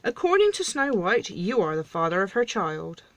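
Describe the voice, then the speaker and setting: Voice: mid-range voice, sing-song, normal speed, a bit bright, no room sound. A storyteller voicing a pompous, indignant king who hosts a tabloid talk show, theatrical and emphatic. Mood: commanding.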